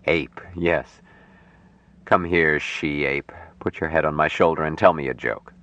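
A man voicing an earnest, tender young man raised apart from humans, speaking slowly and formally in an audiobook story. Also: a bass voice, fairly bright tone, muffled recording, voice pushed down, full character voice. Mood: earnest, elated. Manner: slow, deliberate, formal phrasing.

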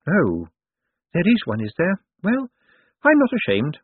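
A man's voice: sits high, wildly swooping pitch, measured pace, slightly rough, no room sound, pitched above the performer's own voice, full character voice. A man voicing a feisty, cheeky old woman with a tart, defiant manner. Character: feisty, cheeky old lady banker, unashamed. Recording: muffled, band-limited phone-like audio.

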